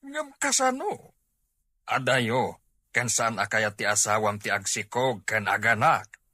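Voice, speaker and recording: A man's voice: on the low side, big pitch swings, a little slow, bright, forceful tone, dry recording, exaggerated voice. A man playing an older, concerned Filipino father in a comedy drama. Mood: disapproving. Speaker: actor in an audio drama.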